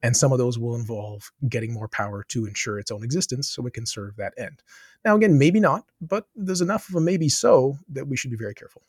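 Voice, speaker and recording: A man's voice: mid-range voice, animated, fast, breathy edge, no echo, studio quality. A man answering as a podcast guest, thinking aloud with many asides and restarts. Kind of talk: conversational interview answers.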